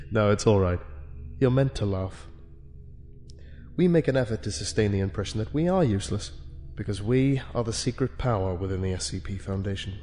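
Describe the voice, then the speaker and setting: Man acting a bright, menacing committee official. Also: lowish voice, close to an everyday voice. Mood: dry, wry. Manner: measured, deliberate, condescending, coolly authoritative. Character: calm, menacing senior ethics committee official of a secret organisation. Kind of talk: dramatic scripted monologue for an audio log.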